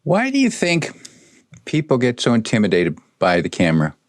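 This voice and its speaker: A male podcast host and presentation coach asking measured, reflective interview questions over a video call. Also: mid-range voice, wildly swooping pitch, no echo, studio quality.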